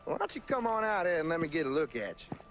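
A man's voice: fairly high pitch, very animated, fast talker, fairly bright tone, a little hiss or hum. A man playing a smug, menacing Southern US sheriff in a video game, drawling with false friendliness. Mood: mock friendly menace. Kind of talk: video game cutscene acting. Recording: music behind the voice.

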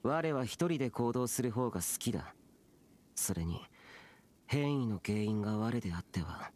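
A man's voice: mid-range voice, lively intonation, normal speed, a little mellow, lightly acted. A man playing a solemn, aloof young immortal demon slayer in a Japanese anime game, stern and terse. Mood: curt. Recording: game dialogue over music.

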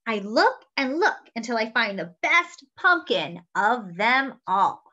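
A young woman reading a picture book aloud to children with animated, expressive delivery. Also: fairly high pitch, takes it slowly. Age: young adult. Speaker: children's story-time reader. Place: small room indoors at home. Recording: slightly dull webcam audio.

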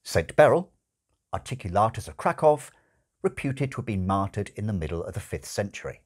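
A man in his sixties reads a comic novel aloud expressively, with dramatic, wryly emphatic phrasing and deliberate pauses, recorded in a room at home. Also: fairly low pitch, big pitch swings. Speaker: audiobook-style reader. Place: small furnished room at home, indoors.

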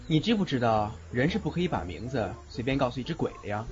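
A man's voice: mid-range voice, big pitch swings, fast talker, lightly acted. A man acting an aloof, grumbling young university student in an audio drama, with a blunt, exasperated manner. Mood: cool, blunt. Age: young adult. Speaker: lead actor in a Chinese audio drama. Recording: slightly dull recording with music behind.

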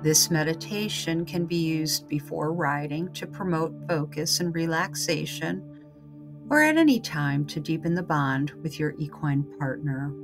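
A woman guiding a scripted meditation in a calm, unhurried, soothing manner. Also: sits low, music in the background. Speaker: meditation guide. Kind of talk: scripted guided meditation narration.

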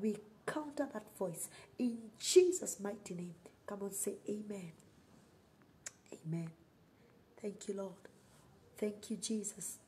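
A middle-aged Kenyan woman preaches to an online audience in a mellow, mid-pitched voice. She prays emphatically, repeating phrases to drive them home. The recording is homemade, with noticeable background noise. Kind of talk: sermon and prayer on a livestream.

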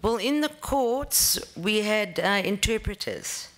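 A middle-aged woman with a South African accent, giving earnest, measured answers at a formal interview, recorded on a table microphone in a large hearing room. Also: medium pitch, lively intonation. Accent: South African English. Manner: earnest, measured, with frequent ums. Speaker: judicial candidate being interviewed.

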